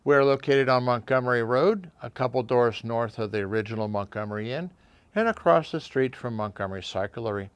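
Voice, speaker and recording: An older American man telling anecdotes informally to camera, reflective and halting, often pausing mid-sentence. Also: mid-range voice, clean tone, dry recording. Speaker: audio shop owner and video host.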